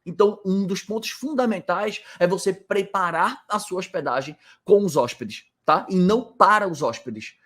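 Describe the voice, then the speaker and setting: A man's voice: high-pitched, lively intonation, normal speed, few pauses, fairly bright tone. A man with a Brazilian Portuguese accent who teaches informally to camera from indoors in a rental house, explaining a point and then answering his own rhetorical questions. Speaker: hospitality business coach.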